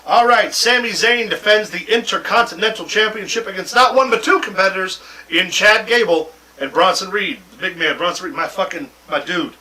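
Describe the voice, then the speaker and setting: Male wrestling talk host, high and piercingly bright voice, rowdy joker, free with swearing, slightly off-mic. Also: brisk, recorded from a distance, poor audio. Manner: boisterous, jokey, profane. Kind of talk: casual banter and sports predictions.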